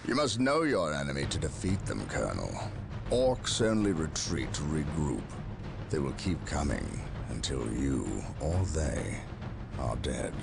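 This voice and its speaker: An actor playing a proud, commanding space-marine captain in a game cutscene, giving curt orders. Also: male voice, very deep voice, very animated, unhurried, vocal fry, full character voice. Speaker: game character. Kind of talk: video game cutscene acting. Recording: game cutscene with music underneath.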